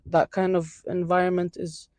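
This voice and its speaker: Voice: medium pitch, level tone, moderate pace, a little mellow. A student from Syria answering interview questions on a campus outdoors, speaking hesitantly and searching for words.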